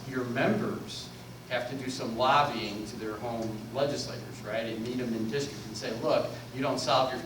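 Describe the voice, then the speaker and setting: A man on a panel at a public forum, explaining policy in an emphatic, numbers-heavy way. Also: mid-range voice. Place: large echoey meeting room. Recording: echoey, distant room recording with noise.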